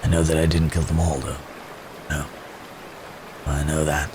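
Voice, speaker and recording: A man voicing a gentle, remorseful wounded werewolf in an intimate ASMR roleplay, speaking haltingly and formally, as if in pain. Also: rumbling low, flat delivery, noisy background. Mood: haunted, remorseful. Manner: halting, hesitant, soft-mannered storytelling with formal wording. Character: wounded male werewolf, gentle, remorseful, recounting his escape.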